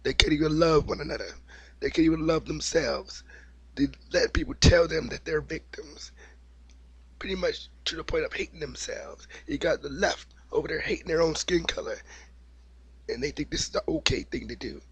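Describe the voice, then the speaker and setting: A man with a cold and a slightly raspy voice, giving an opinionated, repetitive monologue as the host of his own video. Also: a little high, moderate pace, fairly bright tone, no room sound, poor audio. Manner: opinionated, preachy, repeats himself. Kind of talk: unscripted monologue vlog.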